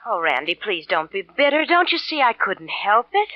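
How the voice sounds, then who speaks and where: An actress playing a pleading, evasive former sweetheart in a 1940s radio melodrama. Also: female voice, mid-range voice, sing-song, moderate pace, no room sound, lightly acted. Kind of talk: 1940s radio drama acting. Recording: dull, dry vintage radio recording.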